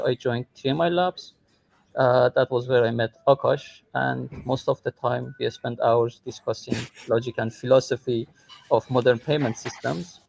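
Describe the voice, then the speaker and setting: A man's voice: medium pitch, creaky, rough recording. A man from Iran speaking conversationally as a tech co-founder and guest on a livestream call. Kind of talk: conversational self-introduction on a livestream. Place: airport, remote call.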